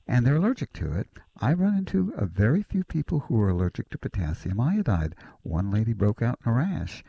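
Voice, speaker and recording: A man's voice: medium pitch, very animated, brisk, vocal fry, dark tone, husky, no room sound, lo-fi sound. A man giving natural-medicine advice to a caller on a call-in show, explaining earnestly and politely.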